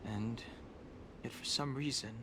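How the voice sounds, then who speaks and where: A man voicing a solemn young dragon-heir warrior in a video game, speaking in a formal, measured way. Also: medium pitch, background noise, lightly acted. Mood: grave, composed. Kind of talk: video game character acting. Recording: game music behind the voice.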